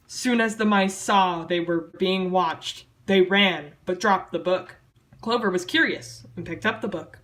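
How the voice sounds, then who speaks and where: A woman's voice: medium pitch, fairly bright tone. Woman doing a mock-dramatic reading, sardonic. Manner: theatrical, self-mocking, sardonic. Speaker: amateur storyteller reading own childhood writing. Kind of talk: mock-dramatic reading aloud with casual snarky asides.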